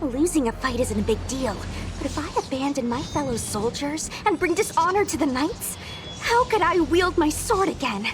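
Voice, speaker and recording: Very high, plucky girl knight. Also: animated, moderate pace. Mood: determined. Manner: spirited, earnest. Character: plucky, earnest, stubborn young female knight. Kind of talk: animated game voice acting. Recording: game music behind the voice.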